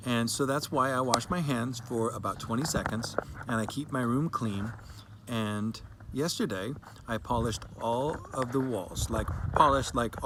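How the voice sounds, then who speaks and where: A man's voice: medium pitch, natural voice. A man voicing a sad, earnest young girl fed up with quarantine, reading her story dramatically in an emphatic, childlike way. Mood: sad, worried. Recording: clearly noisy background.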